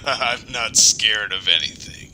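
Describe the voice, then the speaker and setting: A man playing a boastful, fearless village horseman in an audio drama, loud and emphatic. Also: fairly low pitch, slow pace, slight background noise, full character voice, poor audio.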